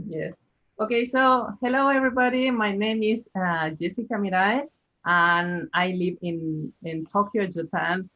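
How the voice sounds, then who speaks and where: A woman working as a Japanese teacher, introducing herself with excitement on a video call. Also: mid-range voice, a little slow. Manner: excitable, laughs between sentences. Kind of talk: casual self-introduction. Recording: dull video call audio.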